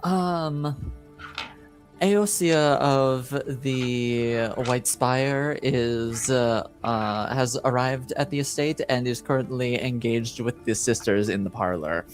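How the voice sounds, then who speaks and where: A game master plays a deferential servant of a noble house in a tabletop fantasy game. The delivery is formal and hesitant, with slow, measured pacing and faint music behind it. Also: mid-range voice, natural voice. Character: polite, deferential household servant reporting to nobility.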